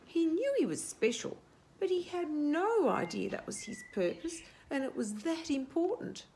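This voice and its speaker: A woman's voice: sits high, big pitch swings, moderate pace, dark tone, a little hiss or hum. A woman reading a picture book aloud for young children, explaining simply and asking questions as she goes. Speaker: children's storyteller.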